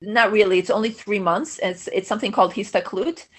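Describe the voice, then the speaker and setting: A woman's voice: mid-range voice, steady tone, a little fast. A middle-aged woman, a native Russian speaker, talking casually as a podcast guest, thoughtful and often restarting her sentences. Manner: thoughtful, self-correcting, frequent restarts and fillers. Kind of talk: casual conversational interview.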